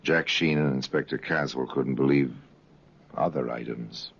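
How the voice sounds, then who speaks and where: A man narrating a dramatic old radio crime series in a grave, deliberate storyteller's manner. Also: a bass voice, vocal fry, husky, full character voice. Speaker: narrator and host. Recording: dull vintage radio recording, loud music behind.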